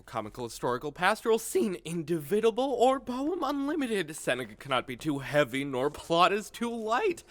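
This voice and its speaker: A woman playing a long-winded elderly male courtier, pompous, fussy and officious, in Shakespearean audio drama. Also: low-pitched.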